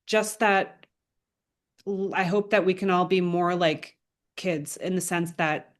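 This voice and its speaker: Earnest woman chatting in an interview. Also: lowish voice. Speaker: animator and filmmaker being interviewed. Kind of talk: casual interview answers.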